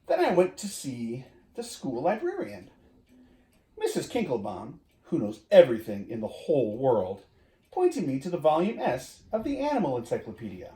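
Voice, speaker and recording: A man reading a children's storybook aloud in an expressive way, with drawn-out emphasis, as if talking to young listeners. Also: medium pitch. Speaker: community education director reading to children. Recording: roomy echo.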